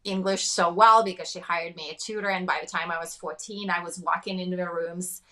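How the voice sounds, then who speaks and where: Russian accent lady, quick and emphatic, bright clear voice, guest on a business podcast. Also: lowish voice, steady tone. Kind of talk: podcast interview.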